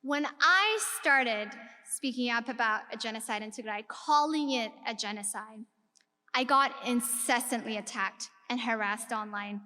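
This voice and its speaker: Woman, keynote speaker, from Ethiopia, earnest, rhetorical questions, bright tone, reverberant lecture hall. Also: fairly high pitch, a little slow. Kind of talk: speech to a live audience. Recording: long reverb of a large hall.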